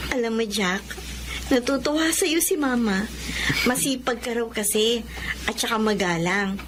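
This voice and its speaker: A high-voiced young Filipino wife, played by a woman, devoted and earnest. She speaks in expressive radio drama style, with clear noise behind her. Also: measured pace, close to an everyday voice. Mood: affectionate. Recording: clear background noise and ambience.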